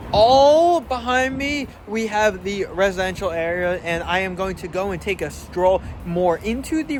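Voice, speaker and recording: A young man chatting casually as a travel vlogger, thinking aloud with fillers as he walks through a city. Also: on the high side. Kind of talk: casual walking travel vlog. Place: outdoors on city streets. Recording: street noise behind voice.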